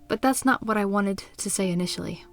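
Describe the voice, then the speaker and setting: A woman voicing a caring, loyal young woman in a visual novel, speaking with earnest, gentle candor. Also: mid-range voice, fairly quick, faint music behind, lightly acted.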